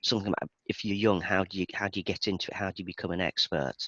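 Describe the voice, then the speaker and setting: A man's voice: low-pitched, fairly even intonation, rapid-fire, bright, forceful tone, dry recording, highs cut off. A man from Birmingham, England with a Birmingham accent, chatting casually as an interview guest in a self-deprecating, talkative way. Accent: Birmingham English. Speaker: interview guest, database performance expert.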